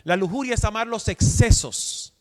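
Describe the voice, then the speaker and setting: Man in his forties, preacher, high voice, emphatic, reverberant hall. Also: moderate pace, a little mellow. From Dominican Republic. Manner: asks the audience questions, emphatic. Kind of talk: sermon teaching.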